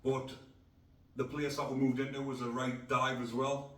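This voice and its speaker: A man's voice: mid-range voice, flat delivery, moderate pace, a bit bright. A middle-aged man from North East England, hosting a casual podcast in a blunt, rambling way. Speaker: podcast host. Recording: echoey room, distant mic.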